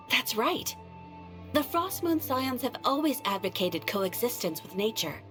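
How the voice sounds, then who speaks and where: A polite video game guild receptionist with a fairly high voice and animated intonation. She explains things formally and helpfully, with faint music behind her. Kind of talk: video game character acting.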